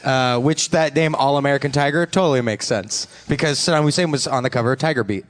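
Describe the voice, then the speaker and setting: A man's voice: mid-range voice, fast talker. A man hosting a comedy podcast game, bantering and joking with mock-earnest delivery.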